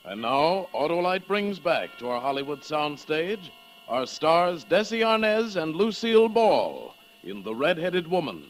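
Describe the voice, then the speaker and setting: A man's voice: fairly high pitch, big pitch swings, measured pace, faint music behind. A man announcing a suspense programme in dramatic 1950s radio style.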